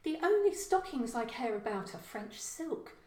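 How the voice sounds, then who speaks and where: A woman's voice: high-pitched, sing-song, normal speed, a little mellow, reverberant, pitched above the performer's own voice. A woman voicing an aggressive, sneering young female neighbour in a story, snappish and menacing. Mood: sneering, hateful.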